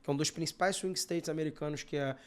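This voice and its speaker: A man's voice: on the high side, steady tone, quick pace, clean tone. A man from Brazil co-hosting a news podcast, chatting informally with lots of casual fillers. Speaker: podcast co-host. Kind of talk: casual news-discussion chat.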